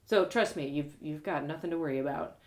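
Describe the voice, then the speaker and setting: A woman's voice: low-pitched, fairly quick, pitched below the performer's own voice, close to an everyday voice. A woman voicing an eager, sheltered young girl in a storybook, hesitant, apologetic and rambling. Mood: earnest.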